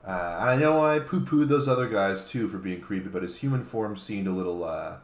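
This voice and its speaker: A man's voice: lowish voice, brisk. A man chatting casually and jokingly as a panelist. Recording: roomy, echoing sound.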